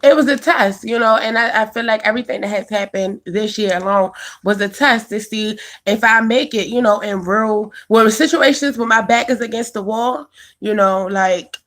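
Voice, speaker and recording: A woman's voice: medium pitch, normal speed, a bit bright. A woman, chatting casually on a live stream, answering viewers by name and laughing often. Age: 20s. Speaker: vlogger reading live chat.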